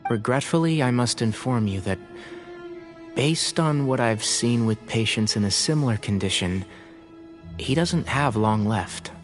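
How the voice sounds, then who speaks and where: An actor playing a calm, gently serious physician and scholar in a video game, speaking thoughtfully and politely. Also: male voice, mid-range voice, a little slow, faint music behind, lightly acted. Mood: grave, gentle.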